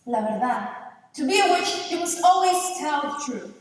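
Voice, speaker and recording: A proud, knowing witch, played by a woman declaims in a high voice, mocking and theatrical. It is a stage play, caught from far off with heavy hall echo. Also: animated, moderate pace. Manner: theatrical, declamatory, with Spanish phrases. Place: large indoor theater stage, distant camera.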